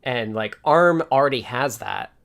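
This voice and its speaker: Male livestream host, developer type, mid pitch, casual technical chat, thinks out loud. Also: huge pitch swings, a little slow, gravelly. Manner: informal, thinks aloud while answering viewer questions.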